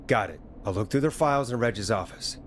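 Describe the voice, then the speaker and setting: An actor playing a steady late-night radio DJ in a video game, a man who is reassuring but dryly exasperated. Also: lowish voice, fast talker, slight background noise, natural voice. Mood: determined. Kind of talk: video game voice acting, conversational.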